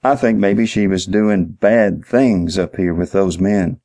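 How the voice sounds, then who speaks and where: A man's voice: low-pitched, takes it slowly, mellow. A man voicing a dim-witted, eager hotel night manager in his sixties, with folksy, literal speech in an audiobook story. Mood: dim, helpful.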